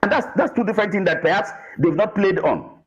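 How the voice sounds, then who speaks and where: A man's voice: high-pitched, lively intonation, fast talker, dull audio, faint music behind. A man with a Nigerian accent giving emphatic political commentary, repeating phrases to add weight. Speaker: political analyst panel guest.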